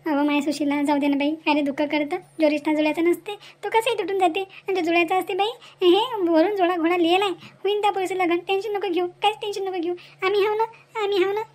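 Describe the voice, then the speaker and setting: A woman's voice: very high-pitched, steady tone, fast talker, hardly any pauses, darkish tone. A woman voicing a comforting, moralising village neighbour in an amateur cartoon, emphatic and repetitive, with a Varhadi Marathi accent. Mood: reassuring.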